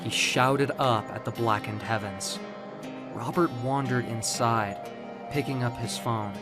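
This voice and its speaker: A man narrating scripted dark fiction in a dramatic storytelling style. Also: mid-range voice, unhurried, over music.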